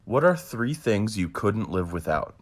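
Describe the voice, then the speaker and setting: A young adult interviewer asking scripted quick-fire questions in a polite, upbeat way. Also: mid-range voice, big pitch swings.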